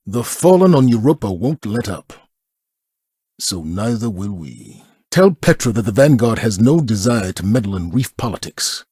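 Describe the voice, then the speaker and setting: An actor playing a grave, steadfast military commander in a video game, speaking in a solemn, measured, authoritative way. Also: male voice, lowish voice, darkish tone, husky, no echo, studio quality, heavily acted. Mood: grave, resolute. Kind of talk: scripted video game voice acting.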